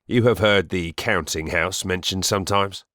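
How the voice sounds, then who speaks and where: A man playing a stern, domineering middle-aged stepfather in a dramatised audiobook, speaking gravely and pompously. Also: low-pitched, moderate pace, a bit bright, no echo, clean studio sound. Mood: cold, brisk.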